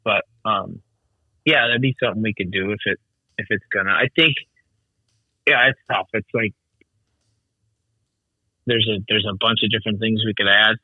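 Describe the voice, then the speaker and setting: A man's voice: fairly low pitch, moderate pace, pauses often, slightly rough, no echo, phone-quality sound. A man who organizes races, chatting casually on a call with many restarts and fillers. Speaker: snowmobile race organizer. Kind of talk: casual phone conversation.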